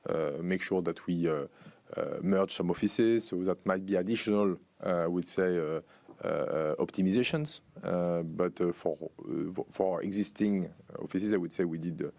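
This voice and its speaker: Finance exec guy on an earnings call, deep gravelly voice, stop-and-start phrasing, really dry sound. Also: moderate pace, a little mellow, dry recording. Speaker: company finance executive answering investor questions. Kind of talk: earnings call Q&A, unscripted explanation.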